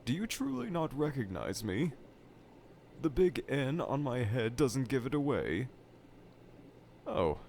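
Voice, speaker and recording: Pompous mad scientist villain, sulky n theatrical, slow talking w big pitch swings, cartoony game style. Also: male voice, very animated, a little mellow, exaggerated voice. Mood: offended. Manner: theatrical, pompous, grandiose, self-pitying brooding. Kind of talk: scripted roleplay monologue addressed to the listener. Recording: clear background laboratory ambience noise.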